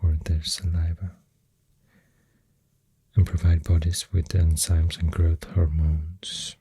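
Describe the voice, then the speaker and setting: Breathy, husky Swedish-accented ASMR voice, very close. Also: low voice, little pitch movement, warm tone, dry recording. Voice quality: breathy, husky, often slipping into whisper. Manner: slow, hesitant, pausing between phrases. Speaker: ASMR creator. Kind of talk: soft-spoken ASMR rambling while drawing. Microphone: close microphone.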